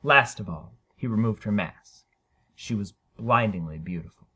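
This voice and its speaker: Male narrator for dramatic short fiction: bright, forceful, widely varied pitch, emphatic phrasing. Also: animated, slightly rough. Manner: theatrical, emphatic, deliberate phrasing. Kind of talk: dramatic short story reading.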